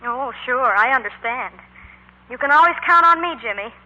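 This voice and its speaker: A woman's voice: high-pitched, expressive, moderate pace, bright, forceful tone, exaggerated voice. A young woman acting an earnest, proud teenage schoolgirl in a 1940s radio comedy-drama. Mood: hurt, restrained. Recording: dull, lo-fi 1940s radio recording.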